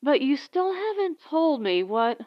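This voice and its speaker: Woman playing an anxious, plucky young wife, high-pitched. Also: very animated, unhurried, muffled recording. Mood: questioning. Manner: questioning, vulnerable.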